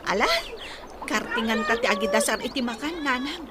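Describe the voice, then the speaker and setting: A woman's voice: fairly high pitch, brisk, very noisy, natural voice. An actress playing an eager, dutiful grown daughter and mother with an Ilocano accent in a radio drama. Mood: cheerful. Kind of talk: Philippine radio drama acting.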